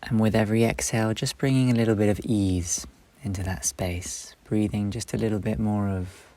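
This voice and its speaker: Low, creaky-voiced man guiding meditation. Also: steady tone, dry recording. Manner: slow, gentle delivery in short phrases with long pauses. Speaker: meditation guide. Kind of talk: guided meditation, instructional and soothing.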